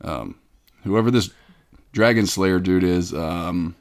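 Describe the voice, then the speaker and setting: A man's voice: deep voice, slow. A man hosting a comedic podcast, reading fan mail in a pompous, self-important, mock-indignant way. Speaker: podcast host. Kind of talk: comedic scripted podcast banter.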